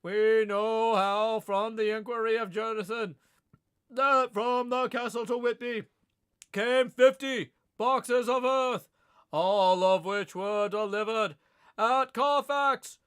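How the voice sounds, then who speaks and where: A man reading aloud, voicing an elderly scholarly professor who speaks in a measured, solemn way. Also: high-pitched, fairly even intonation, a bit bright, smooth. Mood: planning. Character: elderly scholarly professor leading vampire hunters.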